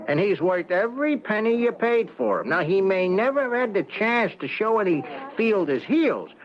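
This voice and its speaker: An actor playing a folksy, brash horse seller in an old-time radio drama, pushy and full of slang. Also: male voice, high-pitched, sing-song, moderate pace. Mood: brash, persuasive. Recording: dull old radio recording.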